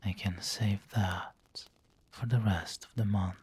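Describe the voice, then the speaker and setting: A man playing a refined, doting, possessive vampire lord in an ASMR roleplay, breathy and whispery, with a genteel, coaxing manner. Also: on the low side, fairly even intonation, darkish tone, heavily acted. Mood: gentle, refined. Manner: slow, genteel, coaxing endearments.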